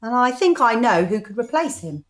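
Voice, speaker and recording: A woman acting in a radio drama as a sensible club member with a brisk, wry manner. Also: on the high side, big pitch swings. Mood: wry, composed.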